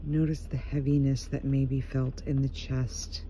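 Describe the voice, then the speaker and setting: A man leading a guided meditation in a gentle, hushed voice, leaving unhurried pauses between short phrases. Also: mid-range voice, flat delivery. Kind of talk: guided meditation, slow soothing narration. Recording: muffled, dull home recording with faint noise.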